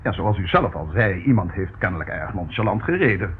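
A man's voice: deep voice, big pitch swings, a little fast. An actor playing a fussy, easily scandalised male estate agent in a Dutch radio play, with formal, pompous patter. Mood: effusive, salesmanlike. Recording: muffled old radio recording, faint noise.

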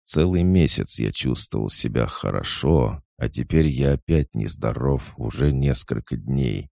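A man playing a fussy, ailing young gentleman. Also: rumbling low, unhurried, gravelly, dry recording, heavily acted. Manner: fussy, complaining. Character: young man, journalist, fussy about his health.

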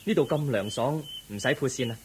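A man's voice: medium pitch, brisk, darkish tone, a little hiss or hum, natural voice. A man playing a thoughtful, compassionate young crown prince in a Cantonese audio drama, earnest and questioning. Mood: serene.

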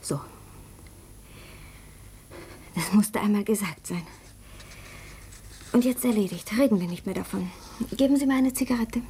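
An actress in a German radio play playing a proud, bitter, sickly young woman, accusing and agitated, dropping into hushed intensity. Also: medium pitch, moderate pace, a little hiss or hum, natural voice. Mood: curt.

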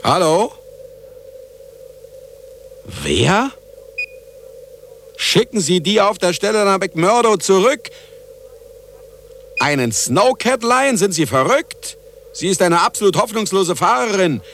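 An actor playing an irascible older scientist who also narrates, theatrical and commanding, in a German radio play. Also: male voice, high voice, sing-song, a little slow, long pauses, fairly bright tone, slight background noise, exaggerated voice. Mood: agitated, indignant.

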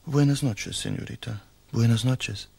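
Languid noble gentleman, radio drama, formal and faint-hearted, close dry recording. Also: medium pitch, normal speed, vocal fry, slightly rough, no room sound. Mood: courtly. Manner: formal, mannered, polite. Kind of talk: German radio drama acting.

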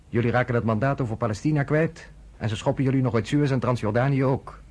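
A man plays a blunt American reporter in a radio drama. His voice is slightly husky and his delivery is sardonic and cutting, as if he is lecturing someone he does not respect. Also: mid-range voice, a little mellow, natural voice. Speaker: journalist.

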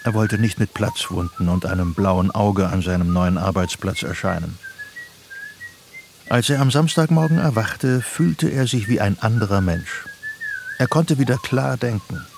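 A man's voice: lowish voice, lively intonation, unhurried, vocal fry, a little mellow. A man reading scripted literary narration as the narrator of a German radio play.

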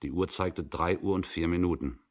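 A man giving a measured, suspenseful dramatic reading of a story in a 1960s radio production. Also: low-pitched.

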